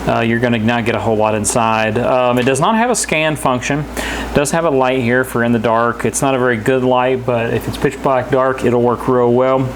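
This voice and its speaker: Halting man over clearly noisy background hum. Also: medium pitch, fairly even intonation. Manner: halting, hesitant, plain-spoken. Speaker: product reviewer. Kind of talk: casual unscripted product demonstration. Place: indoors in a house.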